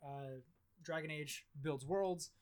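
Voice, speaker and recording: A man's voice: on the high side, slow pace, smooth. A man hosting a casual gaming podcast who is losing his voice, rambling through blunt, profane chat with lots of fillers. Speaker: podcast host.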